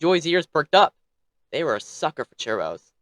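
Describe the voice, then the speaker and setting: A man's voice: a little high, very animated, moderate pace, a bit bright, no echo, clean studio sound. A man telling a bedtime story as narrator, theatrical, with playfully snippy asides.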